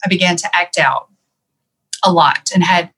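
Low-voiced woman telling her story openly. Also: fairly even intonation, moderate pace, pushed and bright, husky, rough recording. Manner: reflective and open, with frequent "um" and "you know". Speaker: interview guest. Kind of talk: candid personal storytelling in conversation.

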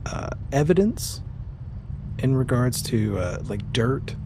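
A man narrating a tabletop roleplaying game as game master, pausing mid-sentence as he improvises. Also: medium pitch, big pitch swings, noisy background.

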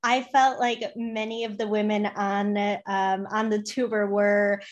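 A woman's voice: mid-range voice, little pitch movement, slow, muffled recording. A young woman from Poland, a guest on a podcast, talking in a bubbly, giggly way. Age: young adult. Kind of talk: casual podcast interview.

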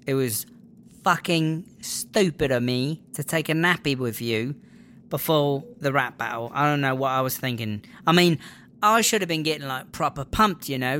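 A woman's voice: very deep voice, a bit bright, a little hiss or hum. A woman playing a brash, sulky inmate rapper in a comedy audio drama. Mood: grudging, sulky.

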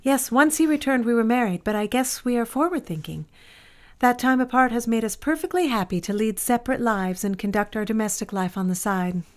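An actress playing a composed, worldly married office friend in a period drama, poised and big-sisterly. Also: female voice, on the high side, fairly quick, a little mellow, lightly acted. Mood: matter-of-fact. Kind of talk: period radio drama acting.

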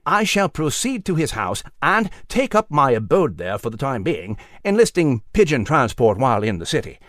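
A man's voice: mid-range voice, very animated, normal speed, a bit bright, no echo, clean studio sound. Nigel, a man voicing a courtly mouse. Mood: genteel. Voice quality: courtly, formal diction. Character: genteel old male mouse, proper and kindly.

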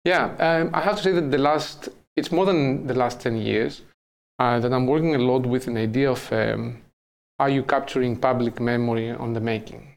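Middle-aged Greek man, native Greek speaker, gravel in the voice, halting and thoughtful interviewee. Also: medium pitch, fairly even intonation. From Greece. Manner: thoughtful, halting, many fillers. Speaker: artist guest. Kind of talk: interview conversation.

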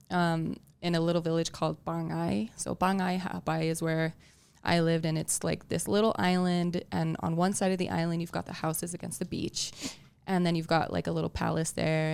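Woman with vocal fry, native Tongan speaker. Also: on the low side, little pitch movement, moderate pace, a little mellow. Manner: chatty, many fillers like "like" and "you know". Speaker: podcast guest. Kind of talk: casual interview chat.

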